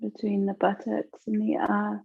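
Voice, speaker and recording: Slow-paced woman guiding relaxation. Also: medium pitch, completely flat delivery, vocal fry, slightly rough, no room sound, lo-fi sound. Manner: soothing, unhurried, gentle instruction with long pauses. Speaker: yoga nidra teacher. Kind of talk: guided relaxation meditation.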